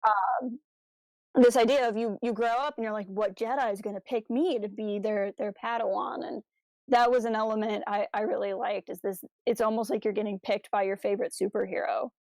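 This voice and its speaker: A woman co-hosting a podcast, talking casually as a fan and telling stories in an animated, slangy, enthusiastic way. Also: medium pitch, brisk, no room sound. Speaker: podcast co-host.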